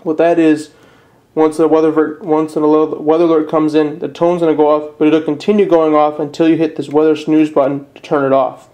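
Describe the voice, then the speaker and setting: A man giving a casual step-by-step product tutorial in a plain, unpolished, slightly halting way. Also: fairly high pitch, little pitch movement, dark tone. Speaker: presenter of a how-to video.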